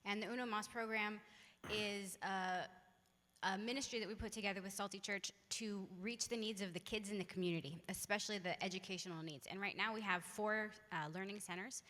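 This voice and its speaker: An American woman speaks with a very bright, clear-cut tone in a reverberant hall. Her pitch is average. She explains things conversationally, as a guest missionary would from a stage. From California, United States.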